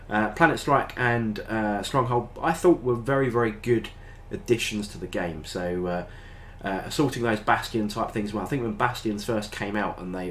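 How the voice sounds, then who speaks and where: A man's voice: on the low side, slight background noise. British man with a stuffy head cold. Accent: British English. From Britain. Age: older. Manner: rambling, frequent ums and uhs. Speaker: tabletop gaming channel host. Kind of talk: casual review talk to camera.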